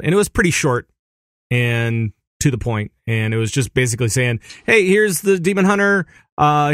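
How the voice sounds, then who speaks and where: A man co-hosting a casual gaming podcast, animated and laughing easily, sometimes making silly sound effects. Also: a little high, no echo, studio quality. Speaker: podcast co-host. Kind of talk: casual chat.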